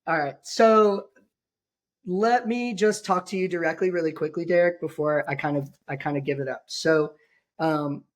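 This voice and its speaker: A man hosting a podcast, hoarse and raspy from being sick, talking in a rambling, joking way with many fillers. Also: fairly high pitch, moderate pace. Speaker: podcast host. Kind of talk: casual podcast chat.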